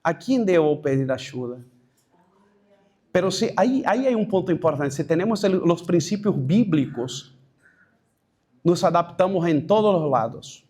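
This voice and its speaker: A pastor with a noticeably high voice speaks Spanish with a Brazilian Portuguese accent. He tells a personal testimony to a room of church leaders, halting and restarting and slipping in Portuguese words. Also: lively intonation, darkish tone, husky.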